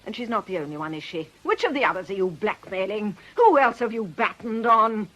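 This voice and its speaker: An actress playing a bitter, imperious fortune-teller and coven leader in a radio drama, with a cutting, theatrical, threatening manner. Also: female voice, medium pitch, lively intonation, a little fast, fairly bright tone. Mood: furious, hurt. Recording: noisy old radio drama recording.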